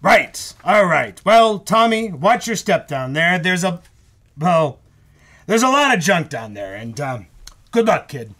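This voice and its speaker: A man playing a fretful, hurried studio boss in a live old-time radio drama, stammering and repeating himself. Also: sits high, very animated, a bit bright, exaggerated voice. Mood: reluctant.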